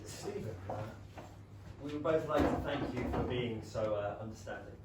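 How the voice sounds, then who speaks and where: A man playing a polite, drily humorous English gentleman in a period stage comedy, speaking in a measured way. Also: mid-range voice, lightly acted. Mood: reflective. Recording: very echoey distant theatre recording, noisy.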